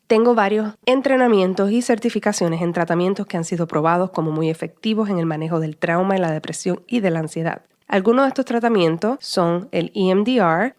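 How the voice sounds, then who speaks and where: Puerto rican woman in her 30s, lowish voice, calm scripted podcast host talk. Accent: Puerto Rican Spanish. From Puerto Rico. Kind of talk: scripted solo podcast monologue.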